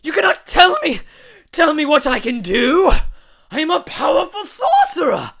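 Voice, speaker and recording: A man doing a shrill cackling sorcerer. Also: big pitch swings, normal speed, gravelly, sharp tone, heavily acted. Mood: gloating. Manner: cackling, gloating. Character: cackling vain male sorcerer, gloating and shrill.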